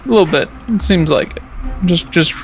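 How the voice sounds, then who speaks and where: A man's voice: sits high, moderate pace, gravelly, a little hiss or hum. A man chatting casually on a game stream, rambling and often repeating himself. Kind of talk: casual gaming chat.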